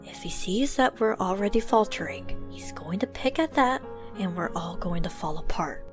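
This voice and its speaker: A woman playing a firm, blunt, level-headed team leader in an audio drama, speaking in a measured way over music. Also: mid-range voice, expressive, lightly acted. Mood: steadying. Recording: music bed behind the voice.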